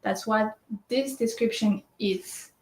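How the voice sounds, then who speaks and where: A woman's voice: fairly high pitch, takes it slowly. A woman presenting a step-by-step screen tutorial, explaining as she goes and often checking in with "right?" and "uh". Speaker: shopping service presenter. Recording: roomy echo.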